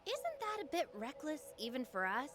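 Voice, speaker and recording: A woman's voice: high voice, huge pitch swings, moderate pace, background noise. A woman voicing a brave, idealistic young heroine in a video game, earnest and determined. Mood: eager, concerned. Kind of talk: video game cutscene voice acting.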